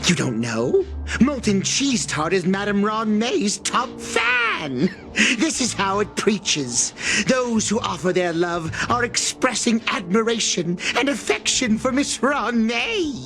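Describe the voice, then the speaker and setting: A woman's voice: mid-range voice, sing-song, slow, fairly bright tone, exaggerated voice. A woman playing a devout, reverent disciple in a game, proclaiming a creed. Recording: music playing behind the voice.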